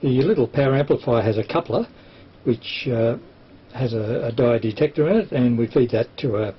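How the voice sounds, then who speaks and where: A man's voice: medium pitch, normal speed. Australian man, dull lo-fi recording. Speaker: amateur television operator and presenter. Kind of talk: unscripted technical demonstration. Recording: dull lo-fi sound with clear hiss.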